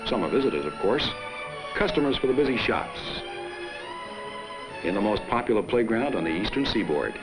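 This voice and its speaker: A man reading scripted 1950s promotional film narration in a measured, formal announcer style on a vintage soundtrack. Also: fairly low pitch, very animated, low-quality recording. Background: background music.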